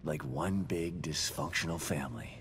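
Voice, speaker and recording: A man playing a gruff, weary, determined ex-detective in a survival horror game, speaking tersely and sardonically. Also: extremely low pitch, normal speed, fairly bright tone, a little hiss or hum. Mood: bitter.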